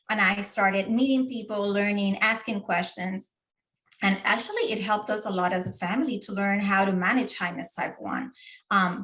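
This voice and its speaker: A middle-aged woman speaks as an interview guest. Her voice sits at an ordinary middle pitch, and she talks earnestly, often pausing on fillers and restarting her phrases. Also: fairly bright tone, low-quality recording. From Puerto Rico. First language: Spanish. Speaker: guest, diabetes advocate and parent. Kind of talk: casual interview.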